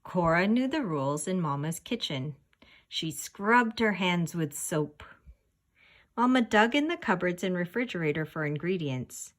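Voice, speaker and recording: Slow, expressive woman reading a children's story. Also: fairly low pitch. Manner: expressive, deliberate storytelling delivery. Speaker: storybook reader. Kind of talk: scripted children's story read-aloud.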